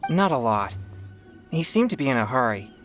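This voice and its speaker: A woman's voice: rumbling low, sing-song, moderate pace, faint music behind. A woman voicing an earnest, polite teenage boy who is hard on himself, in a video game. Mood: subdued, earnest. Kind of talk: video game character acting.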